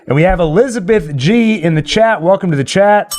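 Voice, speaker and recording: A man's voice: high voice, brisk, darkish tone. A man chatting casually and jokingly as host of a live stream. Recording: spooky ambient music playing behind. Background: ambient Halloween music.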